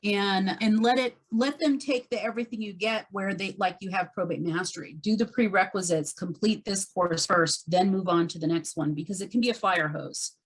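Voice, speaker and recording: Woman, caller, average pitch, conversational, emphatic, explanatory advice. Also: normal speed, few pauses, low-quality recording. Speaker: caller, real estate agent. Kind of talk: conversational advice on a group call.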